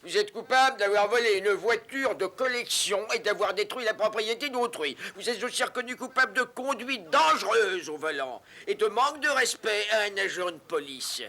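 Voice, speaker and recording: A stern cartoon judge, with a high, piercing voice. He scolds pompously and declaims every sentence as a pronouncement. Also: lively intonation, normal speed, hardly any pauses, pushed and bright, exaggerated voice.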